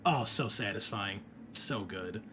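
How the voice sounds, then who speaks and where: A man's voice: on the low side, measured pace, pushed and bright, a little hiss or hum. A man hosting and running a tabletop game in a casual, enthusiastic, sweary, conversational way. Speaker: game master and host.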